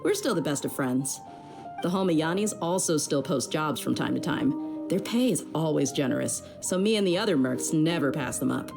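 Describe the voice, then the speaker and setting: A young desert mercenary woman with a low, grounded voice. She is loyal and easygoing, talking frankly and with plenty of slang, as in a video game. Music plays prominently behind her. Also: lively intonation, moderate pace, creaky. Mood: relaxed, friendly.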